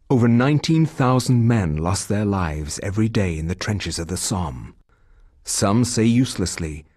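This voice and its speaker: A grave scholar narrates the horrors of war in a solemn tone. His voice is very deep and husky. The sound is dry, with no room around it. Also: darkish tone, dry recording, exaggerated voice. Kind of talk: scripted game narration.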